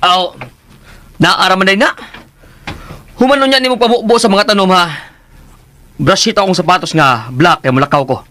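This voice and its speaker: A man's voice: high-pitched, normal speed, pauses often, pushed and bright, slight background noise. A man acting a haughty, bossy rich young son in a Filipino radio drama, with a Cebuano accent. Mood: haughty, annoyed.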